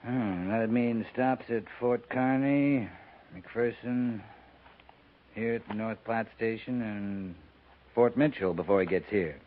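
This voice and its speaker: An actor playing a laconic, plain-spoken cavalry captain in a 1950s radio western, dry and understated. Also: male voice, lowish voice, takes it slowly. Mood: wry. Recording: narrow, faintly noisy 1950s radio recording.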